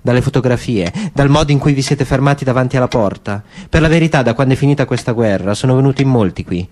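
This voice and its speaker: A man voicing a calm, guarded older woman, speaking slowly and choosing his words in a literary radio reading. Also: mid-range voice, a little hiss or hum, natural voice. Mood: calm, measured. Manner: slow, measured, choosing words.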